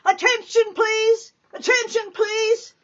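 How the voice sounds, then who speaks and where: Woman voicing a high, commanding nun calling out. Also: level tone, slow pace, muffled recording, full character voice. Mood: commanding, formal. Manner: loud, formal, projecting announcements. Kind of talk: dramatic storybook reading.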